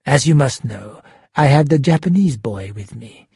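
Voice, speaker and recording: A man voicing an angry, cold gunman in an audiobook, giving hard, clipped, often hushed threats. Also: medium pitch, expressive, unhurried, slightly rough, no echo, clean studio sound, exaggerated voice. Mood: quiet threat. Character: menacing, angry male gunman, cold and commanding. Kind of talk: audiobook character voice.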